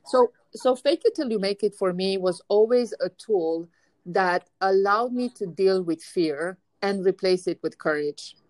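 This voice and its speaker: Woman host, Russian-sounding accent, emphatic and lively, dry close mic. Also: medium pitch, measured pace, dry recording, low-quality recording. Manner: energetic, emphatic, repeats words when starting. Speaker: podcast host. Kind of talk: casual conversation.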